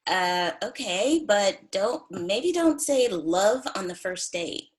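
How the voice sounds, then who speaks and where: A woman's voice: mid-range voice, expressive, slow pace. A woman in a sitcom table read playing a blunt, awkward single woman, hesitant and conversational.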